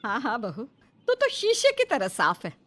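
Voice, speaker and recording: A woman's voice: a little high, wildly swooping pitch, normal speed, heavy background noise, voice pushed down. A woman voicing an indignant, sarcastic middle-aged mother-in-law in a comic cartoon story, scolding and dramatic.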